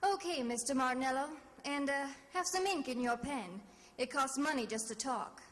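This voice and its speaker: A woman's voice: high-pitched, moderate pace, long reverb tail, close to an everyday voice. An actress playing a tough, wry, businesslike woman private detective in an old-time radio drama. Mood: brisk, wry. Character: brisk, tough, money-minded young female private detective.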